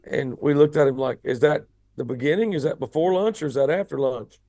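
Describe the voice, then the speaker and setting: A man with a raspy voice, a rock singer chatting casually as an interview guest, often repeating words as he starts his sentences. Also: on the high side, a little fast, dark tone, dry recording, clean studio sound. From United States.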